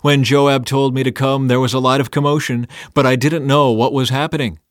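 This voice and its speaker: A man's voice: mid-range voice, little pitch movement, fairly quick, dry recording, clean studio sound, lightly acted. A man voicing an eager, loyal young messenger, earnest and emphatic, in a scripture audiobook. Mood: evasive.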